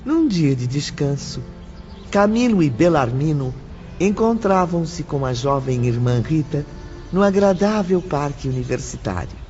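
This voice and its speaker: A man narrating an audiobook in a solemn, measured storytelling manner. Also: a little high, animated, darkish tone. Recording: dull lo-fi audio, faint music.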